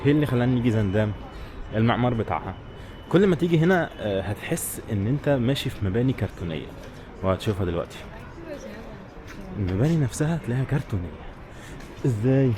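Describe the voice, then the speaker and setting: An Egyptian man speaks very quickly in a mid-pitched voice, chatting informally as he vlogs outdoors. He addresses viewers directly in a casual way, with clear street and traffic noise behind him. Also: animated. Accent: Egyptian Arabic. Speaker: travel vlogger. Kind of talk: casual vlog chat to camera.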